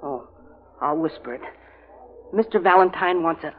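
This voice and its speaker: A woman's voice: low-pitched, normal speed, lightly acted. A woman acting an eager, cheerful boy who gives earnest encouragement in an old American radio comedy. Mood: cheeky. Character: eager, cheerful boy teaching horse riding. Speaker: actor in a radio comedy-mystery. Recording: muffled, noisy vintage radio recording.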